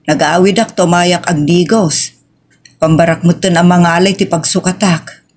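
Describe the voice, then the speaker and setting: A woman's voice: on the low side, steady tone, normal speed, darkish tone. A woman with a Filipino accent playing a weary, sorrowful older mother in a family audio drama, speaking plaintively. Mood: weary, grave. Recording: music under the voice.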